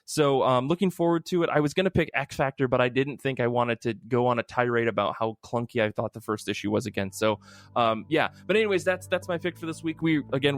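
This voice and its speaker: A man hosting a podcast, chatting casually and enthusiastically in a rambling, informal way. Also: medium pitch. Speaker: podcast host. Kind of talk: casual comic book podcast chat.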